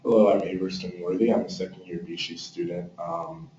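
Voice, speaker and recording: Deep, mellow young man, distant and echoey. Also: fairly even intonation, brisk. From South Florida, US. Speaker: graduate student. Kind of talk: prepared self-introduction. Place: echoey room, away from the mic. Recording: distant, echoey, muffled, noisy room.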